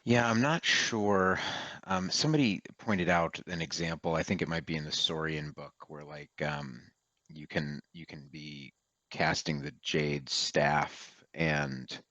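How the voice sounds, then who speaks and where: Very deep-voiced man on a dull dry mic. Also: fairly even intonation, a little slow, fairly bright tone, no echo. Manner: easygoing, rambling, full of "you know" and "um". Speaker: co-host. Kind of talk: casual chat about a tabletop wargame.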